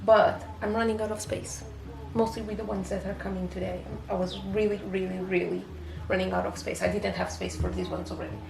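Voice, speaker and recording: A woman's voice: mid-range voice, lively intonation, a little fast, gravelly, few pauses, reverberant, background noise. A woman from Portugal with a Portuguese accent, English not her first language, chatting casually in a home vlog with many fillers and restarts. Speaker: booktuber.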